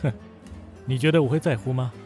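A man's voice: mid-range voice, fairly quick, darkish tone, faint music behind, natural voice. A man voicing a cool, spiteful young male general manager who speaks curtly. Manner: curt and dry. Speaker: voice actor in an audio drama. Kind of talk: modern audio drama acting.

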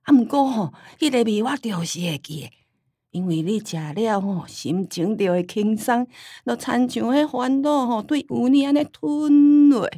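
A woman playing a forgetful, homely old grandmother in a radio drama, rambling and sighing with a Taiwanese accent and drawn-out sentence endings. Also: fairly high pitch, warm tone, no room sound, clean studio sound. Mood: wistful, rambling.

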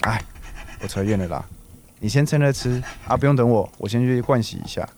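An actor playing a caring, health-conscious man around forty in a radio drama, gentle and chatty, with Taiwanese Mandarin speech. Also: medium pitch, fairly quick, a little hiss or hum, lightly acted.

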